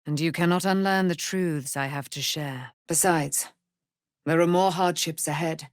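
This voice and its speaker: A woman's voice: low voice, unhurried, dry recording, studio quality. A woman playing a proud immortal warrior, daughter of a moon goddess, in theatrical fantasy game style with grandiose, archaic diction. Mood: solemn.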